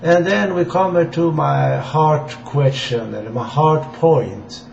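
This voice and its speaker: A man from Sweden with a Swedish accent gives an informal political address in halting, deliberate non-native English. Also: a little high, gravelly. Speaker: amateur political campaigner addressing viewers. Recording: dull, echoey room with faint hiss.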